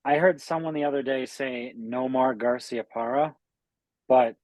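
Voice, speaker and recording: A man's voice: mid-range voice, a little slow, darkish tone, no echo. Laid-back podcast guy, dry sound. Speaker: podcast co-host. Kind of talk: casual podcast banter.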